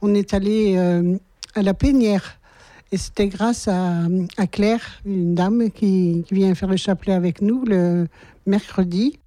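A woman answers interview questions about her new community in a hesitant, modest way. Her voice is mellow and recorded dry and close. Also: medium pitch, fairly even intonation, measured pace, no echo. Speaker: volunteer.

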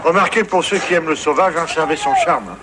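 Man as a chatty camper, higher voice, loud traffic behind. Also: fast, natural voice.

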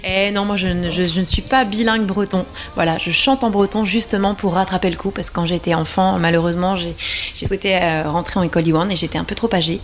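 A woman in her forties from Brittany, a singer being interviewed, talking casually with frequent pauses and restarts. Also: lowish voice, steady tone, soft background music. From Brittany, France. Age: 40s. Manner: hesitant, many pauses and restarts.

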